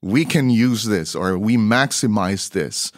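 A middle-aged man with a Filipino accent telling stories as an interview guest, conversationally and a little haltingly as he searches for words. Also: fairly low pitch, animated, no room sound, studio quality. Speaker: guest missionary broadcaster.

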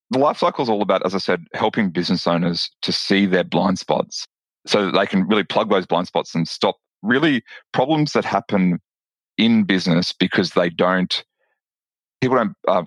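Australian guy on a podcast, deep voice, keen, keeps restarting his sentences. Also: no echo. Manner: enthusiastic, with frequent restarts and repeated words. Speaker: podcast guest, lawyer and business owner. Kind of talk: casual interview chat.

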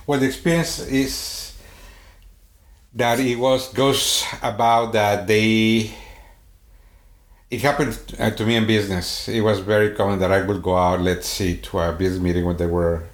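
A man with a Swedish accent speaks in a somewhat low voice, searching for words, with frequent fillers and restarts. The recording has a roomy echo around him. His delivery is unhurried and conversational. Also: level tone. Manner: halting, many restarts and fillers. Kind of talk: casual conversation. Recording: echoey room.

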